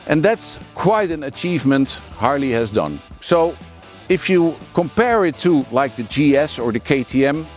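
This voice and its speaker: A Dutch-accented man in his forties talks frankly and casually, pitched a little high. He stops often on fillers and restarts. He is recorded outdoors on a clip-on mic, and faint music plays underneath. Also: animated, moderate pace, darkish tone. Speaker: motorcycle reviewer. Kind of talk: casual video review. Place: outdoors at a working mine site.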